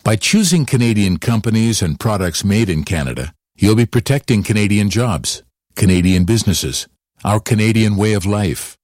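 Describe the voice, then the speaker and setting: A man reading a public service announcement as a slow, earnest appeal. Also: on the low side, expressive, a little mellow, dry recording, clean studio sound.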